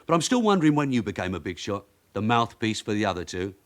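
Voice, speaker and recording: An actor playing a shrewd, weary veteran detective sergeant in a radio drama, with a London accent and a blunt, sardonic, authoritative way of questioning. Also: male voice, lowish voice, big pitch swings, fast talker. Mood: sardonic, needling. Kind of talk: naturalistic radio drama acting.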